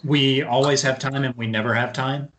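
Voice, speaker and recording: A man's voice: medium pitch, steady tone. Man, mid-range voice, hesitant, casual podcast talk, slight background noise. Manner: hesitant, halting. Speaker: podcast guest. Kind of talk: casual chat.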